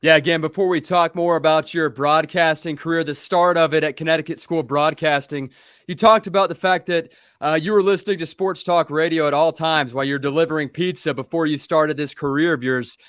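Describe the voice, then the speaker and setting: A man's voice: on the high side, fairly even intonation, a little fast, fairly bright tone, smooth, dry recording, studio quality. A man hosting a podcast, asking formal leading questions and recapping what his guest has said. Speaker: podcast host. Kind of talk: scripted interview questions.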